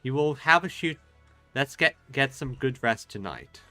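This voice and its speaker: A man gives casual commentary over a video game in a bright, mid-pitched voice. He speaks haltingly, often restarting phrases, with faint game music behind him. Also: lively intonation. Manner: halting, repeats words mid-sentence. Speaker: game streamer.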